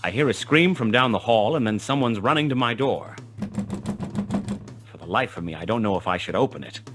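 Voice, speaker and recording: An actor playing a world-weary, wry, tough private detective who narrates a 1920s hard-boiled radio play in a clipped, dramatic manner. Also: male voice, on the low side, animated, a little fast, music in the background, natural voice. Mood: tense.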